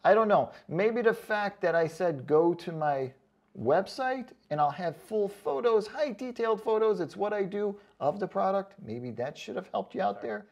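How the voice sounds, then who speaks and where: A man's voice: sits high, animated, normal speed, vocal fry, darkish tone. A man in a casual comedic rant, reading out comments as an online host, sarcastic, mocking and freely swearing, with emphatic delivery. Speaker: online boot review video host.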